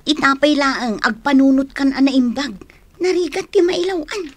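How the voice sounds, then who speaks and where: An actress playing a prying, cautioning young best friend, with an Ilocano accent and admonishing manner in a radio drama. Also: female voice, sits high, normal speed, no room sound, close to an everyday voice.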